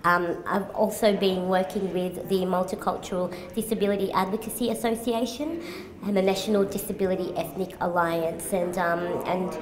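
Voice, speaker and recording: A woman's voice: mid-range voice, steady tone, normal speed. Young Australian woman, hesitant, noisy echoey room. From Sydney, Australia. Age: 20s. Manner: hesitant, many ums. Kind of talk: self-introduction interview. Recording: echoey room with crowd chatter.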